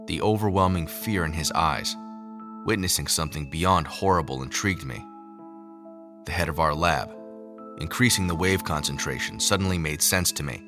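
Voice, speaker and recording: A man playing a haunted lab scientist, narrating a scripted audio drama in a measured, brooding way. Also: rumbling low. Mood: brooding, grave. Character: haunted male lab scientist recounting secret experiments. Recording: music under the voice. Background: music.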